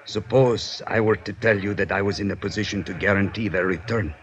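A man's voice: on the low side, steady tone, highs cut off, lightly acted. An actor playing a secretive, calculating foreign informant in a 1950s radio drama, formal and cautious in his phrasing. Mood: guarded, businesslike. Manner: formal, cautious, measured, avoids contractions. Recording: faintly noisy 1950s radio recording.

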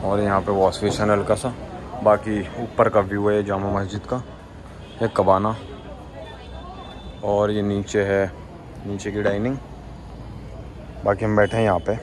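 A man's voice: lowish voice, flat delivery, measured pace, pauses often, slight background noise. A young man casually narrating a vlog in informal, slangy speech at a cafe. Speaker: vlogger. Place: cafe with terrace. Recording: loud music over the voice. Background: loud cafe music.

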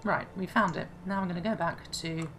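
Low-voiced woman gamer, slightly hoarse. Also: steady tone, fast, a bit bright, slight background noise. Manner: chatty, thinking aloud. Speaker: gaming channel host. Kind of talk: casual let's-play commentary. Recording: clear game music behind.

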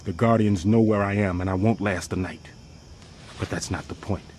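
An actor playing a tough but anxious industrial firefighter in a video game, urgent and plain-spoken. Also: male voice, fairly low pitch, fairly even intonation, moderate pace, natural voice. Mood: hushed, urgent. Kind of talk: video game cutscene acting. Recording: loud background roar and ambient noise.

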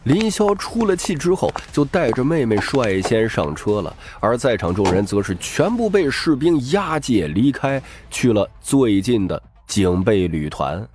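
A man's voice: mid-range voice, very animated, a little slow, few pauses, a little mellow. A man narrating a novel as a dramatic, scripted audiobook.

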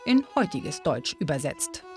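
A woman's voice: sits low, very animated, measured pace. Woman narrating a documentary over music. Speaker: documentary presenter.

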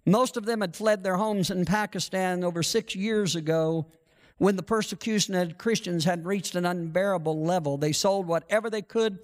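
A middle-aged pastor with a high, light voice speaks on a headset mic from a large church stage. He reads from a sheet and prays in halting, repeated phrases. A long hall reverb trails behind his words. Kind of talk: sermon with reading and prayer.

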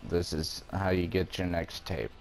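A man's voice: low-pitched, steady tone, measured pace, faint music behind. A man giving a casual video game walkthrough, explaining things step by step in an informal way. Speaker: gamer presenting a walkthrough.

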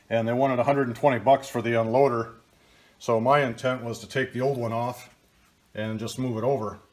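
An older man walks through a pump repair step by step, in a plain, practical tone. His voice sits at an ordinary middle pitch, and he talks casually into a camera mic in a workshop. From Michigan, US. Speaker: DIY video host. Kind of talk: casual how-to narration. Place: workshop, table of pump parts.

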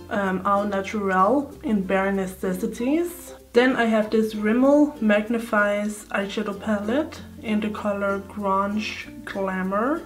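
Chatty young woman, slightly hoarse. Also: mid-range voice. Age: young adult. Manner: chatty, thinking aloud while applying makeup. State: slightly hoarse, sore throat. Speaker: beauty vlogger. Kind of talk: casual makeup tutorial chat. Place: indoor room at home. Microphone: close camera facing her.

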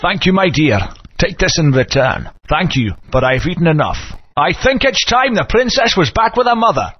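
Kind old-ish dino king, husky, kinda high, muffled. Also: big pitch swings, moderate pace. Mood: gentle. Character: gentle aging male dinosaur king, weakening. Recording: dull lo-fi game audio.

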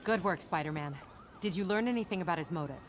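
A woman plays a businesslike police officer in a game cinematic. She talks fast and dryly, with sirens and street noise clearly behind her. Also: fairly low pitch, lightly acted. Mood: dry, brisk. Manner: deadpan, brisk. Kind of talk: video game cinematic acting.

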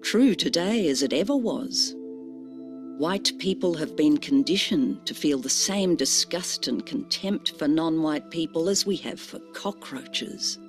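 A narrator reading a scripted documentary voice-over in a grave, measured way. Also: mid-range voice, expressive. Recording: narration over loud music.

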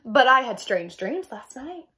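A dreamy little schoolgirl from a storybook, voiced by a woman, sleepy and expressive. Words stretch out in a high, swooping voice. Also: exaggerated voice. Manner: drawn-out, expressive.

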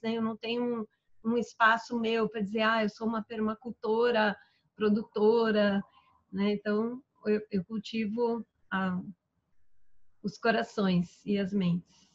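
A Brazilian woman in her fifties with a somewhat high voice introduces herself on a web seminar, drifting along with frequent fillers. Her audio comes through a dull, lo-fi video call. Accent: Brazilian Portuguese. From São Paulo, Brazil. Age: 50s. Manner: rambling, with many "é" and "né" fillers. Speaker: permaculture teacher and environmental engineer.

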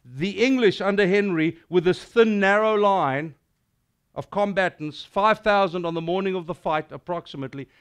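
A middle-aged South African man preaching in a small indoor hall, his voice sitting high and carrying with a smooth, resonant ring. He speaks in a lively, declamatory way, building his sermon toward grand moments. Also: moderate pace. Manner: animated and declamatory, gesturing widely. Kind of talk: sermon with dramatic recitation.

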